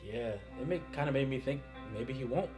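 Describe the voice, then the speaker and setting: A man's voice: mid-range voice, brisk, over music. A man co-hosting a reaction show, reacting in short, casual remarks.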